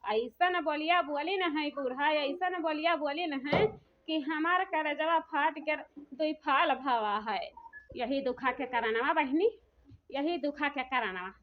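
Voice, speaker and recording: A woman voices a high-pitched village daughter-in-law in a dialect cartoon comedy. She is weary of taunts and complains in a plaintive, repetitive ramble with a rural eastern UP accent. The recording is dull and lo-fi. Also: a little slow. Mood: wailing grievance. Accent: rural eastern Uttar Pradesh. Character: aggrieved elder daughter-in-law, weary of taunts, threatening to leave.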